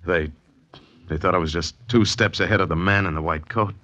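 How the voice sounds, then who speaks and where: A man's voice: deep voice, brisk, sharp tone. An actor playing a troubled, earnest artist in his thirties in a 1940s radio drama. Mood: troubled, insistent. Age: 30s. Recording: dull vintage radio recording with noise.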